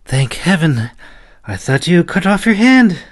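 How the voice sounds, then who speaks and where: Man putting on a high-pitched fussy old woman. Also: sing-song, measured pace, heavily acted. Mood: worried fussing. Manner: fussing, exclaiming, scolding. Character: fussy, scolding but caring old woman, frail.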